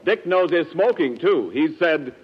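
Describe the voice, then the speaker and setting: Male 1940s announcer, slightly high and rough-edged, emphatic polished pitchman on a dull, echoing old broadcast. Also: animated, unhurried. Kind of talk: 1940s radio advertisement and straight-man patter. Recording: muffled, reverberant 1940s radio broadcast.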